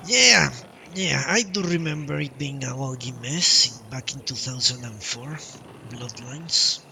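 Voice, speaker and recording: A man's voice: mid-range voice, unhurried, gravelly, bright, forceful tone, slight background noise. A man doing casual live commentary on a game he plays, thinking aloud and muttering short questions to himself. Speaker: game streamer. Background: game music.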